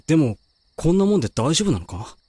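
A man playing a blunt but caring young man with rough, casual speech in a Japanese amateur voice drama. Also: fairly high pitch, sing-song, fast talker, dark tone, no room sound. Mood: blunt, concerned.

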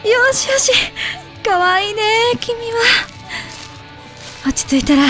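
A woman playing a devoted young mother with emotional, expressive delivery in a Japanese amateur voice drama. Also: very high-pitched, slow pace, exaggerated voice. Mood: gentle, wistful. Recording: music behind the voice.

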